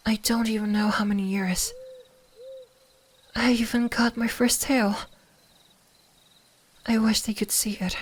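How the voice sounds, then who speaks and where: A woman voicing a gentle, lonely young kitsune fox spirit in an ASMR roleplay, half-whispering softly and wistfully like a bedtime storyteller. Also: a little high. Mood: tender, lonely. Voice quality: soft, breathy, half-whispered.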